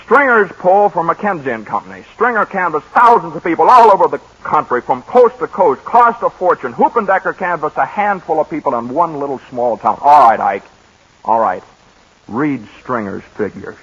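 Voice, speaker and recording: A middle-aged pollster who bursts with schemes, bouncing between gloomy and gleeful. The voice is bright and lively with a husky edge. It comes through a dull, muffled 1940s radio broadcast. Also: male voice, a little high. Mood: excited. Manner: animated, eager, fast swings from gloom to glee. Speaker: lead actor in radio drama.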